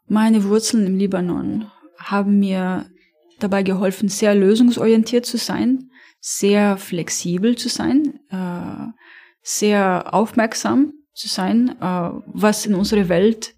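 A woman's voice: mid-range voice, darkish tone, slightly rough. A woman from Lebanon with an Arabic accent, whose first language is Arabic, answering interview questions thoughtfully with frequent hesitations. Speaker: podcast guest, campaigner.

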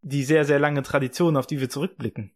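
A young man co-hosting a casual podcast debate, animated and sarcastic, with many restarts and fillers. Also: mid-range voice, quick pace. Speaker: podcast co-host.

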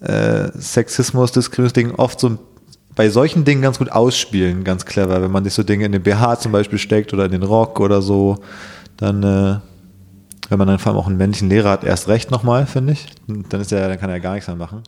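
A young man, slightly hoarse, chatting casually as a podcast co-host and rambling with many fillers. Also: lowish voice, steady tone, a little fast, a little mellow.

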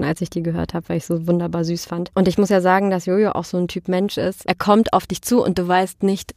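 A woman speaking as an interview guest in a candid, colloquial and expressive way, telling personal stories casually. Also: fairly low pitch, no echo, clean studio sound.

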